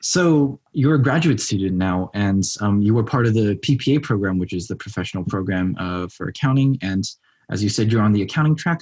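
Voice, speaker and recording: A man's voice: fairly low pitch, a little mellow, husky. A young man, a student interviewing a guest in a friendly, casual way with frequent fillers. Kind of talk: interview questions.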